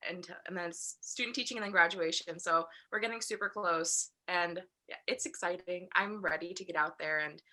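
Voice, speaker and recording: A woman's voice: fairly low pitch, moderate pace, pushed and bright, poor audio. A woman in her early twenties, an education student, answering earnestly in a casual interview.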